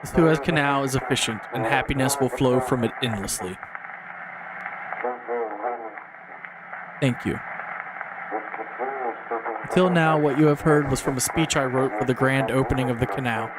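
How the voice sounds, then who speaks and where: A man's voice: medium pitch, close to an everyday voice. Man acting a solemn old-time diplomat, noisy recording. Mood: solemn, confessional. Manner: formal, grandiloquent, measured. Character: solemn 19th-century diplomat and canal developer giving speech. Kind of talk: scripted analog horror monologue.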